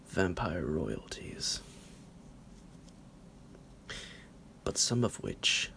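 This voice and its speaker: A man playing a psychotic vampire doctor in an ASMR roleplay, smug and menacing, with a slow, theatrical, teasing delivery in a gravelly half-whisper. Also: exaggerated voice. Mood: teasing, condescending. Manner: slow, drawn-out, theatrical, halting, with low chuckles.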